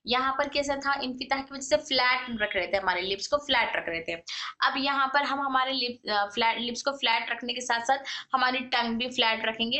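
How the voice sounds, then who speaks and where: A woman's voice: high-pitched, sharp tone, reverberant. A woman teaching a recitation lesson, patiently repeating each sound and phrase. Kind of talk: tajweed lesson.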